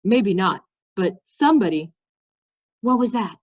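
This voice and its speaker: A woman's voice: medium pitch, animated, measured pace. A woman voicing an earnest, reluctant, easily hurt young king from a storybook. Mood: defensive. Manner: sincere, reluctant. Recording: slightly dull, lo-fi sound.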